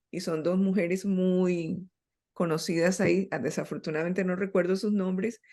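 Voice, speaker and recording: Middle-aged Colombian woman, writer guest, thoughtful and halting. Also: on the low side, a little mellow. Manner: reflective, hesitant with many fillers. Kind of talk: interview conversation.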